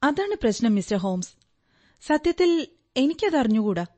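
A woman's voice: sits high, big pitch swings, moderate pace, darkish tone. A woman acting in a radio drama as an earnest, trusting young client, politely recounting her story in detail. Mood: earnest, troubled. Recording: radio drama with background music. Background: music.

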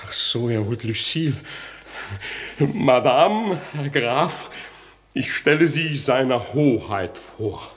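A rich, foolish father who thinks himself grand. He speaks with pompous self-importance at a medium male pitch, in the theatrical manner of 1960s radio comedy. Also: animated, slow. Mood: impatient. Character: rich, foolish, vain middle-aged bourgeois father.

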